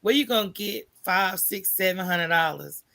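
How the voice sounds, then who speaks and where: An American woman with a creaky, forceful voice speaks as a podcast guest. She tells personal stories in a loose, candid conversational style and often tags her points with a checking "right?". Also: medium pitch, moderate pace. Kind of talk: conversational personal storytelling in an interview.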